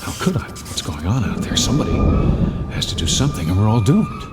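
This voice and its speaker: An actor playing a nervous, suspicious airline passenger in a tense, clipped, defensive way in a vintage-style radio drama. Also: male voice, medium pitch, wildly swooping pitch, fast. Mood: dread, muttering. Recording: radio drama with loud music behind.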